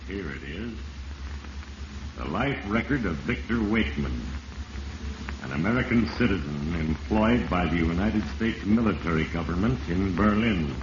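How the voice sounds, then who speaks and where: Solemn, echoing voice of Fate. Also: male voice, lowish voice. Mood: ominous. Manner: solemn, deliberate, ominous pronouncements. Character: Fate personified, grave all-knowing male narrator passing judgement. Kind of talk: 1940s radio mystery narration. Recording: muffled, echoey, noisy 1940s radio recording.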